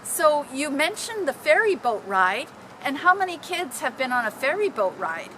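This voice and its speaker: A woman telling personal stories informally to a group, in an animated, dramatic manner. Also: high voice, moderate pace, slight background noise, rough recording. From Canada. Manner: animated, dramatic, acting out exclamations. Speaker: storyteller.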